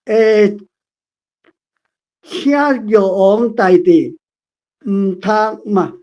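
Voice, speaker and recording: Halting Taiwanese-accented woman, very slow reader. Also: medium pitch, fairly even intonation, mellow. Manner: hesitant, sounding out words piece by piece. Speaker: participant reading a script. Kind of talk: halting read-aloud. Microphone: online call. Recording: dull lo-fi online call audio.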